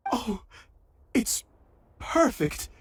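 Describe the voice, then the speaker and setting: A man voicing a gentle, sentimental dreamer in an anime fantasy game, speaking in a heartfelt, halting way. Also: very high voice, big pitch swings, slightly rough, exaggerated voice. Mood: moved. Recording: music playing behind the voice.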